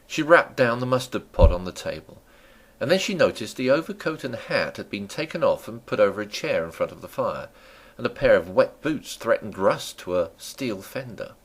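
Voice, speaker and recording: A man narrating a classic novel as a dramatic reading, with theatrical, deliberate phrasing. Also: on the low side, sing-song, breathy edge. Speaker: audiobook narrator.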